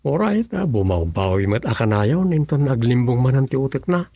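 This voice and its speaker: Man acting a grumbling, husky village councilman. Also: medium pitch, very animated, normal speed, warm tone, no echo. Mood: matter-of-fact. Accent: Ilocano. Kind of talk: Ilocano radio comedy-drama acting.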